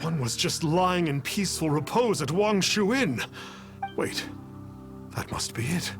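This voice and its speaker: A man's voice: fairly high pitch, animated, measured pace, over music. A man playing a pompous, self-deluded spirit of a fraudulent sage in a game, with theatrical delivery. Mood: smugly dismissive. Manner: pompous, theatrical, calls himself "one". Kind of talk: game voice acting.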